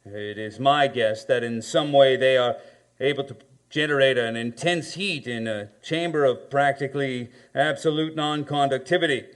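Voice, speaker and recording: An actor playing a learned professor in a radio drama, speaking in a deliberate, hesitant, analytical way. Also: male voice, mid-range voice, close to an everyday voice. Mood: grave, analytical. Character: learned male astronomy professor, measured and analytical. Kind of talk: stage radio drama acting.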